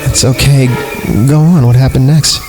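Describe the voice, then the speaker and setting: A man's voice: medium pitch, fairly even intonation, normal speed, big-room sound, music in the background, pitched above the performer's own voice, lightly acted. A man voicing a concerned teenage friend who tries to soothe and reason in a horror story. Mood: soothing.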